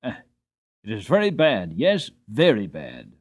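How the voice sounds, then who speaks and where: A man's voice: lowish voice, wildly swooping pitch, a little slow, full character voice. A man voicing a spirited young male horse telling his own life story in a storybook, earnest and emphatic. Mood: disgusted.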